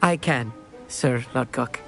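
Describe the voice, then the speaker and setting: An actor playing a polite, bookish, easily awed schoolboy in a video game, earnest and a little hesitant. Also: male voice, medium pitch, takes it slowly, creaky, sharp tone, heavily acted. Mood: polite, flustered. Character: eager, bookish, polite schoolboy, nervous and awestruck. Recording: music behind the voice.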